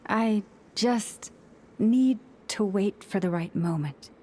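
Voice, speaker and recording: A woman voicing a gentle, solemn young priestess leader in a video game, with measured, formal delivery. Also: mid-range voice, a little hiss or hum, close to an everyday voice. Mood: solemn, resolute.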